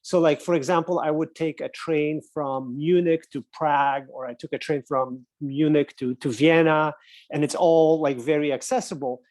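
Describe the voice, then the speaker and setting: Easygoing man, slightly high voice. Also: fairly even intonation, normal speed, a little mellow, no room sound. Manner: easygoing, lots of "like". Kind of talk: casual podcast chat.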